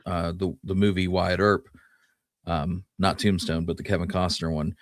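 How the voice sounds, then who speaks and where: Low-voiced man talking history. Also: flat delivery, moderate pace, no echo, clean studio sound. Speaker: co-host of a Western history show. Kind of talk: casual conversational history discussion.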